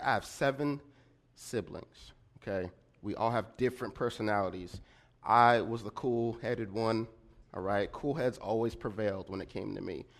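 A man telling a personal story in a sermon, with a slightly nasal voice and animated delivery, often checking in with his listeners. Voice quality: slightly nasal and deeper than usual. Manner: animated storyteller with frequent "right?" check-ins. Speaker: preacher.

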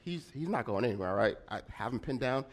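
Man, mid-range voice, slightly nasal, animated sermon storyteller. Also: a little fast. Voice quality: slightly nasal and deeper than usual. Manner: animated storyteller with frequent "right?" check-ins. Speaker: preacher.

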